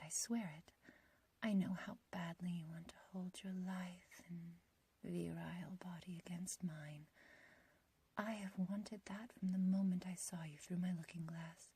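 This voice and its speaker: Woman as a slow, breathy, whispering lovesick witch. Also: fairly low pitch, warm tone, heavily acted. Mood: adoring, triumphant. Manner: slow, intimate, archaic theatrical phrasing. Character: ancient, youthful witch, obsessively loving and possessive. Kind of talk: whispered ASMR roleplay.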